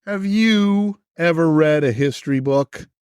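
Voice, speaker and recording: A man playing an anxious, theatrical history book puppet in a stage puppet musical, with melodramatic, drawn-out delivery. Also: fairly high pitch, darkish tone, clear, resonant tone, dry recording, lightly acted. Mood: indignant. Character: dramatic, anxious old-fashioned history book puppet, male.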